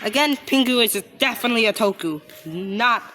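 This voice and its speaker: High-pitched woman, blunt and halting. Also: lively intonation, sharp tone, faint music behind. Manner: blunt, halting and repetitive, argumentative. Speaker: reaction video host. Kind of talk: casual off-the-cuff reaction commentary.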